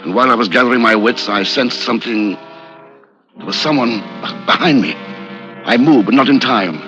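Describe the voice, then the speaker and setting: An actor playing a polite, tense man on the run, courteous and measured in a 1950s radio drama. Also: mid-range voice, expressive, lightly acted. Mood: tense, recounting. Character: hunted innocent man on the run, polite, tense narrator-hero. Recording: muffled old radio recording, loud music.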